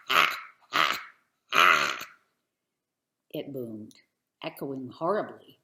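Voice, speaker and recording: A woman telling a children's bedtime story in an expressive, theatrical and deliberate way. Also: low-pitched, roomy sound. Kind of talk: dramatic bedtime story narration.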